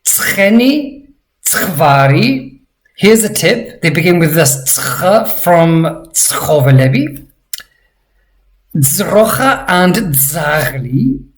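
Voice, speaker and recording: A man teaching a language lesson, explaining vocabulary patiently and repeating words slowly for practice. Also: on the high side, sing-song, rough recording.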